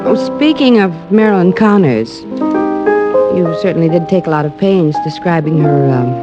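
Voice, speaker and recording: A lively, swooping woman sweetheart in an old radio play, coy and playfully jealous. She teases as she talks. Loud music plays behind her on a muffled recording. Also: on the low side, unhurried. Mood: coy, teasing. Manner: coy, teasing. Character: playful, mildly jealous female sweetheart of the detective. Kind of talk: 1940s radio drama acting.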